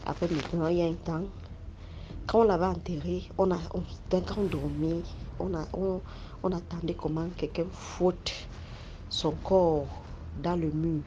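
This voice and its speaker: Halting man. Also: a little high, steady tone, dark tone. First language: English. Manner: halting, searching for French words, many restarts. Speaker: story contributor. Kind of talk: telling a personal spooky story. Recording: dull lo-fi sound with faint noise.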